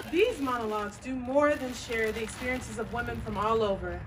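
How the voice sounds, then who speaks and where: A woman reading a scripted statement as presenter, in declarative, measured phrases. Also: a little high, expressive, over a noisy crowd. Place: live event at a bar and grill. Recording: noisy, distant live recording.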